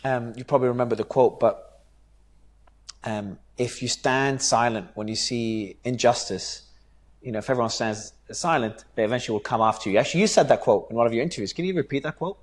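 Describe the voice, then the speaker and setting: A man's voice: mid-range voice, a little fast. A man hosting an interview, asking questions in an earnest, searching way with hesitant restarts. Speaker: interview host.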